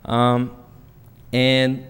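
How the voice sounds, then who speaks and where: A young man in his twenties speaks with a Brazilian accent in a smooth, resonant voice. He tells his story informally, with plenty of "uh"s. The sound carries the long reverb of a meeting hall. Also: mid-range voice, monotone, extremely slow, a bit bright. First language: Portuguese. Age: 20s. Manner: informal, many "uh" fillers, storytelling. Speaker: alumnus speaking at public comment.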